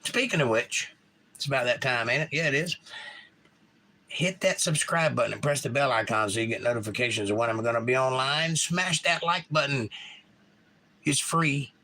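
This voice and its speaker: Man, Georgia accent, bright tone, rambling casual streamer, reads chat aloud. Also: mid-range voice, moderate pace. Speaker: live stream host.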